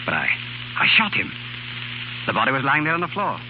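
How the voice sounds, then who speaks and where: An actor playing a suave murderous professor and spy with polite menace, in a noisy 1930s radio drama. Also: male voice, medium pitch, huge pitch swings, fairly quick. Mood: baffled. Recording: noisy, muffled 1930s radio broadcast recording.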